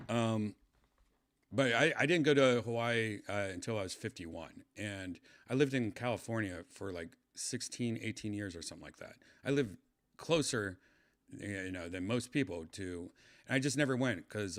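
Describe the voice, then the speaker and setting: A man's voice: fairly low pitch, expressive, moderate pace. A man in his fifties chatting casually to his livestream viewers, rambling with lots of fillers and restarts. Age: 50s. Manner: meandering, trails off, many fillers and restarts. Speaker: livestream host.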